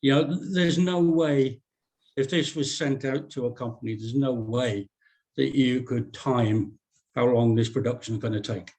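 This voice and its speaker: Male reader, middle pitch, blunt informal critic in a script discussion. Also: moderate pace, darkish tone. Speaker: script reader giving feedback.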